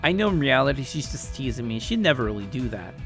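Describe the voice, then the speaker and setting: A man's voice: medium pitch, sing-song, fast talker, creaky, fairly bright tone, faint music behind. A man reading a story aloud in a casual way, stumbling over words and correcting himself. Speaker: video maker reading aloud a story game. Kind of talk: casual read-aloud narration with asides.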